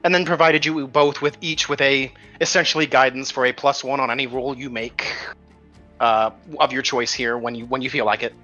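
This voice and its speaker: Bright-voiced male game master, band-limited audio. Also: medium pitch, fairly even intonation, fairly quick, lo-fi sound, soft background music. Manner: casual, swearing. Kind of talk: casual narration and recap of a tabletop game.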